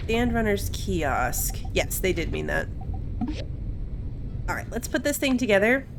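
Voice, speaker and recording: A woman's voice: mid-range voice, big pitch swings, measured pace, gravelly, noisy background. A woman hosting a gaming video with casual, chatty commentary, thinking aloud as she plays. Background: faint game music.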